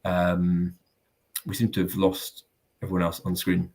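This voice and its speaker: Deep voiced guy hosting a whisky tasting, lots of ums, chatty and self-deprecating. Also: completely flat delivery, a little slow, darkish tone. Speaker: host of a live whisky tasting. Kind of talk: casual hosting chat.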